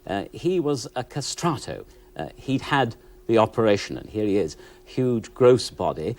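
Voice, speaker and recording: A man's voice: fairly low pitch, sing-song, moderate pace, a little mellow. A man speaking as an expert presenter, giving enthusiastic, emphatic conversational commentary. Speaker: presenter, recorded music expert. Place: television studio.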